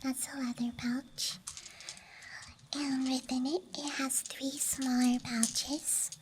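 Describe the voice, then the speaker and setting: A woman whispers slowly in a breathy, hushed ASMR style, close to the mic. Her voice is light and on the high side, drifting gently from one thought to the next. Clear night outdoor ambience and small clicking sounds sit behind her. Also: little pitch movement, background noise. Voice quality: breathy whisper, mostly unvoiced. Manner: soft, hushed, gentle rambling. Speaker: ASMR streamer. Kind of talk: whispered ASMR, rambling show-and-tell. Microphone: close microphone. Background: night campground ambience, dice and case clicks.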